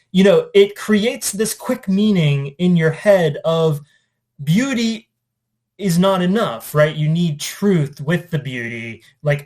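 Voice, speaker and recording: A man speaking as an English teacher, informally reading and discussing poetry in a reflective, conversational, somewhat halting way. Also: fairly high pitch. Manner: reflective, conversational, hesitant with trailing asides.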